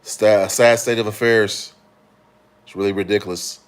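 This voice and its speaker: A man from Chicago hosting a casual livestream, answering comments in a relaxed, rambling way with frequent fillers and restarts. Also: fairly low pitch, moderate pace. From Chicago, Illinois. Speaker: livestream host.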